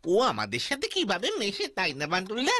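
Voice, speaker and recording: A woman's voice: medium pitch, wildly swooping pitch, moderate pace, a bit bright, husky, dry recording, heavily acted. A woman voicing a curious little boy in a children's cartoon, eagerly asking questions, with music behind. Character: curious, excitable little boy, admiring younger sidekick. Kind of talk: Bengali children's cartoon dubbing.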